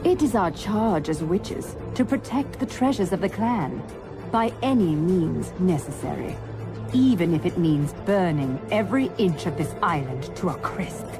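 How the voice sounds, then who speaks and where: A woman's voice: fairly low pitch, animated, measured pace. A woman playing a stern, solemn witch in a video game, speaking in a grave, formal, declamatory way. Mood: stern, threatening. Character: stern, solemn witch rival guarding her clan's treasures. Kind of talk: video game cutscene acting. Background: loud music.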